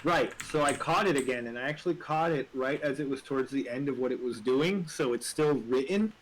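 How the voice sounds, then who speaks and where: A man's voice: medium pitch, a little fast, poor audio. A man in casual, informal conversation, given to swearing. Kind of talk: casual chat. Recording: faint noise, keyboard clicks. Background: typing and clicks.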